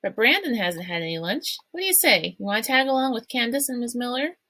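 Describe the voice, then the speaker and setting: A woman's voice: a little high, lively intonation, quick pace, sharp tone, pitched above the performer's own voice. A woman voicing a firm, coaxing neighbor mother in a storybook reading.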